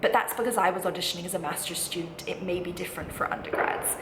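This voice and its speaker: Echoey, lower-pitched young woman vlogging. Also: fast talker. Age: 20s. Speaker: vlogger, singing student giving audition advice. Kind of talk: casual advice vlog to viewers. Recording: echoey room with clear background noise.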